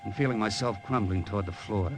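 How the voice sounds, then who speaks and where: Old radio, loud music bed. Male actor as cynical American tough guy, lowish voice, slangy hard-boiled delivery. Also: fast talker, close to an everyday voice. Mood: tense narrating. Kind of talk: 1940s radio drama acting and first-person narration.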